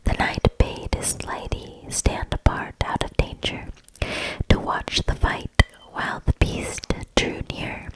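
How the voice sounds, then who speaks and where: A woman reading a fairy tale in a soft, gentle ASMR whisper, breathy and calming. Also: takes it slowly, rough recording. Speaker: ASMR reader.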